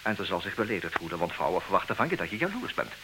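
An actor playing a smug, calculating jealous husband in a comic radio play, with a theatrical, declaiming manner. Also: male voice, deep voice, very animated, very fast. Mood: lecturing, self-satisfied. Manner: theatrical, self-satisfied, declaiming. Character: smug, calculating jealous husband, self-styled expert on women. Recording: hissy old radio play recording.

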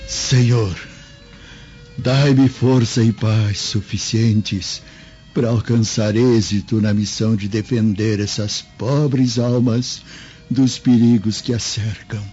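An actor playing a devout, gentle old man in a radio drama, pleading solemnly. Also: lowish voice, steady tone, takes it slowly. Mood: prayerful pleading. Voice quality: husky. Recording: dull lo-fi audio with music behind.

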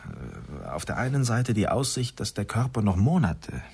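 An actor playing a brooding expectant father in a German radio drama, husky and weighing his words. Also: lowish voice, big pitch swings, normal speed, darkish tone, exaggerated voice. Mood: troubled, hesitant. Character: brooding expectant father facing a grave decision.

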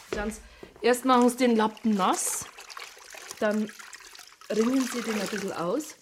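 A woman's voice: medium pitch, slight background noise, lightly acted. An actress playing a no-nonsense woman in a radio crime drama, colloquial, stern and instructive. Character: brisk, no-nonsense Bavarian woman.

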